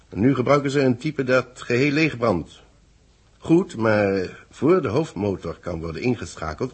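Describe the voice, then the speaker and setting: An actor playing a deliberate space-base official in 1960s radio drama, with a husky voice and a Netherlands Dutch accent. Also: male voice, low-pitched. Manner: deliberate, explanatory. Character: measured male space-base official briefing his secretary.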